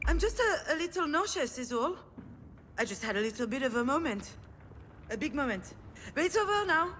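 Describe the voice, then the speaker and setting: A wry, self-deprecating woman in a narrative video game. Her delivery swings widely in pitch as she moves between hesitant excuses and sardonic inner commentary. She sounds flustered and quick to mock herself. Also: sing-song, a bit bright, a little hiss or hum. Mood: flustered, defensive. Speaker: voice actor playing the lead character. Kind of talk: acted game dialogue with inner-monologue narration.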